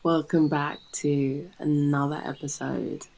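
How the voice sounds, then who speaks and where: A British woman guiding a yoga nidra meditation in a soft, breathy, soothing manner with long pauses. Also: deep voice, flat delivery, a little hiss or hum. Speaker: yoga nidra guide and host. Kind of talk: guided meditation narration.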